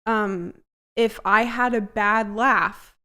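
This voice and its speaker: A chatty woman with a smooth, clear voice at a middle pitch. She talks casually alongside her husband on a livestream. She chimes in often with quick agreement. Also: steady tone, sharp tone. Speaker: co-host, wife. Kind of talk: casual couple chat on a livestream.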